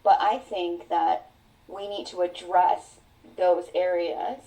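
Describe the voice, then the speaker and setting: Earnest woman, low mellow distant voice. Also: fairly even intonation. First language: Mandarin. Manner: earnest, reflective, drawn-out phrasing. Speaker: podcast guest. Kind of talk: personal storytelling in an interview. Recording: voice sounds distant from the mic.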